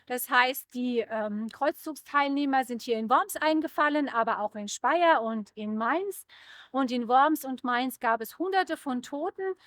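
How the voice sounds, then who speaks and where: A woman explains history in a documentary interview with a high voice. The sound is dry and close, and she pauses briefly as she picks her words. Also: fairly bright tone, no echo. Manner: explanatory, with hesitations.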